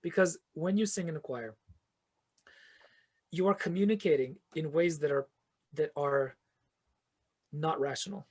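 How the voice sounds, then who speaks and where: A man with a somewhat high, husky voice speaks as a podcast guest. He explains in long, earnest stretches, circling back and restarting as his ideas build. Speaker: guest, fantasy author and choir conductor. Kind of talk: reflective podcast interview answers.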